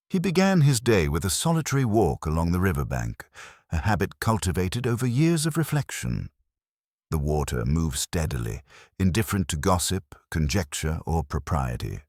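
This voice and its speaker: Very deep male mystery narrator. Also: darkish tone, no echo, studio quality. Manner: measured, formal storytelling. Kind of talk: scripted mystery story narration.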